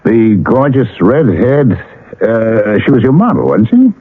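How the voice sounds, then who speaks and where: Down-to-earth old pal, low gravelly voice, hugely swooping intonation, colloquial, 1970s radio drama, lo-fi sound. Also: male voice, unhurried. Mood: sympathetic, casual. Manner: plain, colloquial, animated. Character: down-to-earth struggling male painter, concerned old friend. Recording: muffled, lo-fi old radio broadcast.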